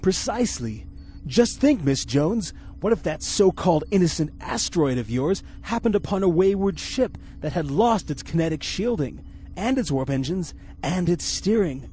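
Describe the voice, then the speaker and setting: A man's voice: high voice, very animated, moderate pace, dark tone. A man playing a pompous, incompetent, self-admiring spaceship captain in the style of a 1950s radio serial. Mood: smug. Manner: pompous, grandiose.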